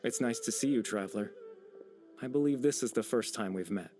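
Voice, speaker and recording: An actor playing a gentle, melancholy young man in a video game, soft-spoken, courteous and a little hesitant. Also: mid-range voice, fairly quick, natural voice. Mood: polite, reflective. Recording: background music under the voice.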